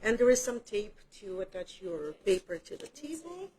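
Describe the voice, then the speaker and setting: A woman's voice: medium pitch, very animated, normal speed, a little mellow, husky, poor audio. A woman casually walking a group through a painting demo in a studio, chatty and a little self-deprecating. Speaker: art instructor. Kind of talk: casual live demo teaching. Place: art studio room.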